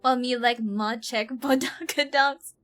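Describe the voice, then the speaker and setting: A woman's voice: fairly high pitch, steady tone, a little slow, fairly bright tone, faint music behind. A young woman livestreamer chatting casually over a game, slangy, giggly and self-deprecating. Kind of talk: casual chat while streaming a game.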